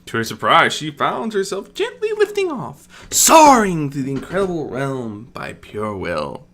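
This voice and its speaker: A storyteller narrates scripted fantasy prose. The delivery is expressive, with pitch that rises and dips a great deal and pauses placed for drama. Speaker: audiobook narrator.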